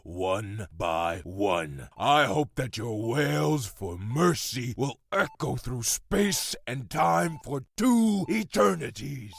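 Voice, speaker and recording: Guy playing a broken bitter old-timer prisoner in an audio drama, gravelly husky, talks really slow, grave and defiant. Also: medium pitch, sing-song, exaggerated voice. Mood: anguished fury.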